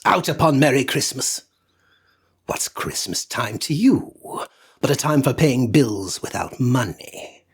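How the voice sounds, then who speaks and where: A man's voice: medium pitch, husky, voice pushed up. A man voicing a miserly, bitter old man who answers with scorn and sometimes hisses his words.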